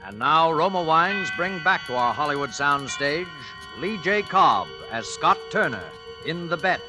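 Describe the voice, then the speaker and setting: A man's voice: on the high side, lively intonation, a little slow. A man announcing a program in the dramatic style of a 1940s radio introduction. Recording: vintage radio broadcast, loud music.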